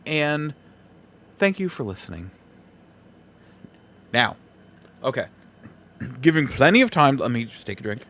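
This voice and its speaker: A man hosting a solo podcast, giving casual opinion commentary in a halting, emphatic way, repeating phrases for effect. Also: medium pitch, sing-song, fairly bright tone. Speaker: podcast host.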